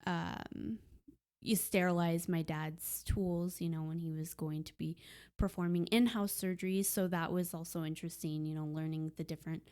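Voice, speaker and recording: A young woman in a casual podcast conversation, talking softly and hesitantly with many pauses. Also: on the low side, steady tone. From Puerto Rican heritage. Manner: soft-spoken, hesitant with many ums. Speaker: podcast guest.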